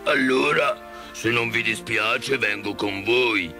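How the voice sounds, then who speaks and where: A man voicing a big, gentle cowardly lion in a storybook, with a slow, sighing, mournful drawl. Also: mid-range voice, music in the background. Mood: hesitant, hopeful.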